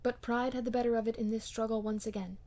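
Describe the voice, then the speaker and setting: A woman reading a classic novel aloud as an amateur audiobook narrator, with expressive scripted delivery. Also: fairly high pitch, quick pace.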